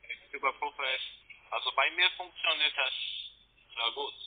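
A man's voice: fairly high pitch, normal speed. A man speaking non-native German with a foreign accent, a polite call-centre agent giving instructions and adding questioning tags. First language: not German. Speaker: call centre account manager. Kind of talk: scripted-sounding phone sales and support. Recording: tinny band-limited phone line.

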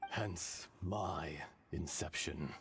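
An ancient elemental spirit in a video game, with a very low voice. The delivery is slow, solemn and formal, grave in every phrase. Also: male voice, gravelly, music in the background, full character voice. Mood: resigned, solemn. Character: ancient solemn elemental dragon spirit speaking through a man's body. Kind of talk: scripted video game dialogue.

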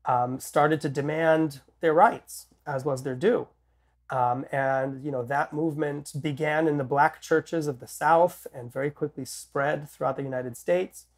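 A man in his thirties, his voice a touch nasal from a head cold and sitting fairly high. He talks in a measured, deliberate way, restarting phrases and filling gaps with ums. He is heard over a video call from a home office. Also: darkish tone. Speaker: political scientist guest. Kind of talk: interview conversation.

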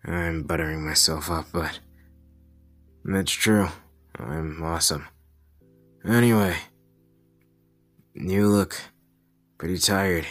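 Man playing a slow, low, drawling villain boyfriend. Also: fairly even intonation, soft background music. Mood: teasing, affectionate.

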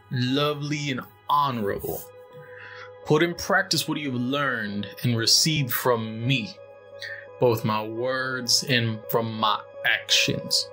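Solemn pastor for audio drama funeral, male actor: slow, reverent delivery, mid pitch, music underneath. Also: bright, forceful tone. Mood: reverent, consoling. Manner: measured, reverent, deliberate. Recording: music behind the voice.

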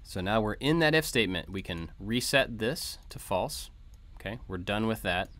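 Low-pitched man explaining code informally. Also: expressive, moderate pace, a bit bright. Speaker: host of a maker tutorial channel. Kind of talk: informal code walkthrough narration. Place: voice-over for a screen recording.

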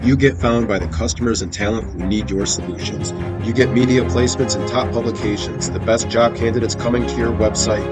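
A man reading a scripted advertisement in a crisp, promotional way. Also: mid-range voice. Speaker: ad announcer. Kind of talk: scripted radio-style ad read. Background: loud music bed.

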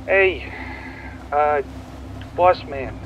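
Higher-pitched man on dull radio. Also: drawn-out words, a little hiss or hum. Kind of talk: improvised roleplay radio call. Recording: dull lo-fi radio, loud music.

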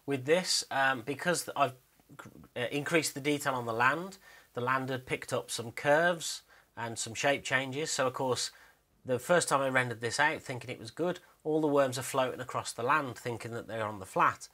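A man's voice: mid-range voice, moderate pace, bright, forceful tone. A man narrating a screen-recorded walkthrough as an animator, casual, rambling and a little self-deprecating in how he explains things.

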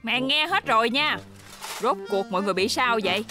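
Woman as a high-voiced cartoon mother. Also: expressive, a little fast, lightly acted. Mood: scolding. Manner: scolding the family, doting on the youngest. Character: firm, thrifty mother of young children. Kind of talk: cartoon dub acting. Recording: music behind the voice.

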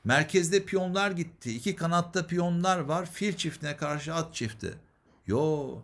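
A man giving instructional chess commentary, explaining moves and posing questions that he then answers. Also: fairly high pitch.

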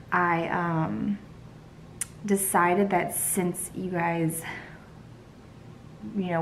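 A woman's voice: lowish voice, a little hiss or hum. A young woman, chatting casually and rambling to her phone camera in her home kitchen while tired. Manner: chatty and rambling, many ums and likes. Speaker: vlogger. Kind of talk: casual vlog chat. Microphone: phone held close.

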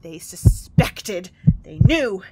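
A woman's voice: on the low side, big pitch swings, extremely slow, heavy background noise, heavily acted. A woman playing a guilt-haunted, unhinged murderer in a theatrical, intense audio drama monologue. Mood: frantic. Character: mad, guilt-haunted murderer, falsely calm then frenzied. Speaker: narrator of a horror tale.

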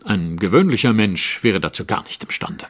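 An actor playing a courteous, self-assured detective with a formal, probing way of speaking, in a German audio drama. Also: male voice, fairly low pitch, sing-song, normal speed, big-room sound, slight background noise. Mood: confident, testing.

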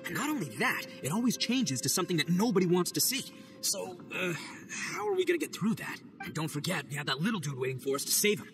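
A man voicing a boisterous young male oni gang boss in a video game, talking in loud, slangy bursts. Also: high-pitched, very animated, fast talker, over music.

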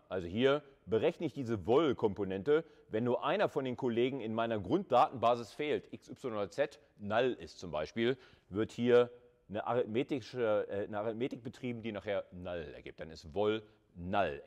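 A male university lecturer with a fairly low voice. He explains in a colloquial, conversational style, thinking aloud as he goes and turning to students with questions. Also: normal speed, darkish tone.

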